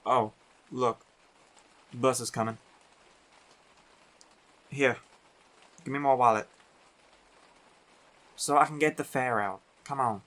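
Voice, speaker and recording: A man playing a big, teasing, affectionate biker werewolf boyfriend in an intimate roleplay audio, talking in an unhurried, colloquial way. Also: mid-range voice, fairly bright tone. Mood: playful teasing.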